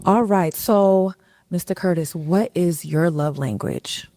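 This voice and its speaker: A woman hosts a dating show and asks contestants questions in a teasing, conversational tone. Her voice sits on the low side, and the recording is dry and close. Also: takes it slowly, mellow, no echo. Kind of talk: casual interview questions.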